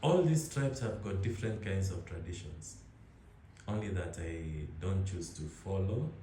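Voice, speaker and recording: A young man with a Kenyan accent talks in a relaxed, rambling way, often restarting his words. His voice sits low and dark. The room has a strong echo. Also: a little slow, slight background noise. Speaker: interviewee. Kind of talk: casual conversation.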